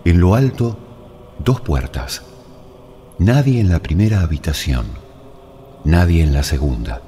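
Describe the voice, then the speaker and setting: A man narrating a literary story in a dramatic, measured and deliberate way. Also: low-pitched, lively intonation, warm tone, a little hiss or hum. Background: music.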